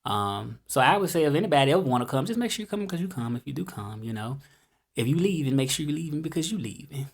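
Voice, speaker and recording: A man's voice: a little high, big pitch swings, fast, creaky, slightly rough. A man in his thirties with a Southern US accent, talking casually to camera in a rambling vlog full of fillers and restarts. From United States. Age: 30s. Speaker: vlogger. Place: home in Mexico, dogs barking outside.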